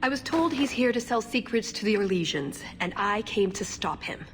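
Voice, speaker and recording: A woman's voice: medium pitch, animated, moderate pace, natural voice. Game heroine, female actor: idealistic elven agent, sincere, hesitant, mid-range voice, faint music behind. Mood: regretful, earnest. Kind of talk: video game cutscene acting.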